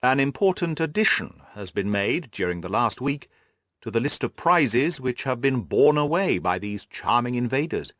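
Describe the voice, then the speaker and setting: A man voicing an earnest, orderly gentleman companion in an audiobook, measured and deliberate in manner. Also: medium pitch, sing-song, dry recording, studio quality. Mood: measured, informative. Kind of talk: audiobook character voice.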